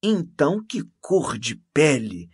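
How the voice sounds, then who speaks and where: A reader acting a pompous, excitable smug priest, swooping pitch. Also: slow pace, breathy edge, dry recording, studio quality, exaggerated voice. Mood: gushing, excited. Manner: exclamatory, emphatic, repeating himself, unctuous. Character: pompous, self-satisfied portly male priest, gossipy, smug, gluttonous.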